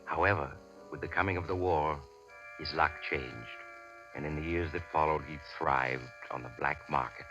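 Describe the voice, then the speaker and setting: Very deep radio mystery narrator. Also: male voice. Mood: grave, dramatic. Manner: measured, dramatic. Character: grave omniscient storyteller of a mystery tale. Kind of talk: 1940s radio drama narration, scripted. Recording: dull old radio recording. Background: music.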